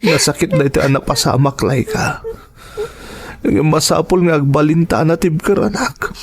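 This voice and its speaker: A man's voice: a little high, normal speed, close to an everyday voice. A man playing a tender, grieving father who consoles his young daughter in an emotive, sorrowful audio drama performance. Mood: tearful, tender.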